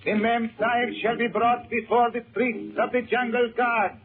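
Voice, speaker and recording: An actor playing a solemn, menacing native guard who declaims ominously in a 1930s radio serial. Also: male voice, very high-pitched, little pitch movement, normal speed. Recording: muffled 1930s radio recording.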